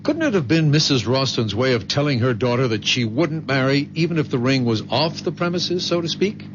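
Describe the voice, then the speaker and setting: Actor as impatient young husband, wry, brusque, sardonic, lively intonation, radio drama, muffled recording. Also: male voice, mid-range voice, brisk, lightly acted. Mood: wry, reasoning. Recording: muffled old radio recording, faint hiss.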